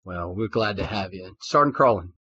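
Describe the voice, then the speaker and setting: A man's voice: sits low, lively intonation, normal speed, sharp tone, slightly rough. A man hosting a formal interview podcast, upbeat and full of praise for his guests. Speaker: podcast host. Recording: dull lo-fi sound.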